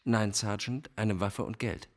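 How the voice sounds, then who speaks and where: An actor playing a suave, manipulative villainous psychiatrist in a radio crime drama, measured and courteous. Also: male voice, lowish voice, fairly even intonation, natural voice. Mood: feigned concern.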